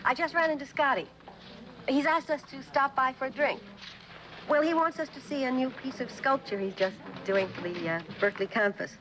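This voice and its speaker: A woman's voice: high-pitched, normal speed, natural voice. An American actress playing a poised, needling wife in her thirties in a 1960s television drama. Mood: polite, tentative. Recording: noisy old TV film soundtrack.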